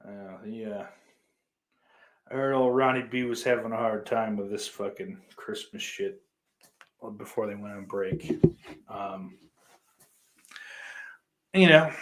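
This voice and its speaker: A man's voice: medium pitch, measured pace, long pauses, bright, forceful tone, low-quality recording. A man hosting a podcast, chatting casually and answering listener comments in a blunt, profane way. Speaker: podcast host.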